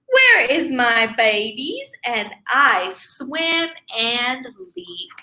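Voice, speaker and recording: A woman's voice: sits high, very animated, very slow, bright, forceful tone, full character voice. A woman voicing a mighty, kindly mother blue whale who speaks in a lilting, singsong rhyme.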